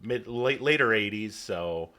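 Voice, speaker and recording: Middle-aged man, from pennsylvania, friendly, rambling, slightly low voice. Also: animated, a little slow, sharp tone. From Pennsylvania, USA. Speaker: guest, hotel owner. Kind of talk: casual interview talk. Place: podcast studio.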